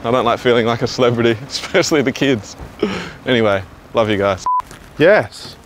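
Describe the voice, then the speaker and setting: A man's voice: mid-range voice, fairly quick. A young Australian man hosting a prank video, chatting casually outdoors at a petrol station, laughing often and swearing freely. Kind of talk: casual prank vlog chat. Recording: outdoor street noise.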